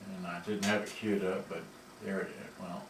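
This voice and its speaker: A man giving a casual, unscripted demonstration as a repairer, halting and muttering his thoughts aloud as he handles gear. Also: low voice, flat delivery. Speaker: repairer demonstrating cassette players. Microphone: camera on tripod at some distance. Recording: roomy, distant mic, loud background noise.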